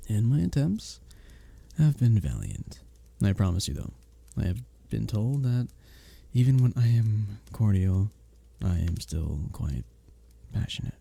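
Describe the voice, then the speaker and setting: A very dark, velvety male innkeeper from a medieval fantasy roleplay, acted out by a man, speaking slowly and intimately. He is courtly and teasing, with flowery phrasing and a soft, breathy voice that swings expressively in pitch. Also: big pitch swings, no echo, heavily acted. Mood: playful, apologetic. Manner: flirtatious, courtly, soft chuckles between phrases. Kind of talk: intimate ASMR roleplay with flowery old-fashioned speech.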